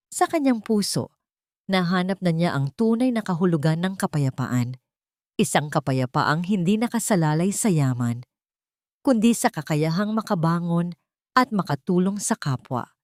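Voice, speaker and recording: Female narrator, lower register, for sentimental drama storytelling; solemn delivery, very dry close studio sound. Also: darkish tone, no echo. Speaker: narrator of love stories.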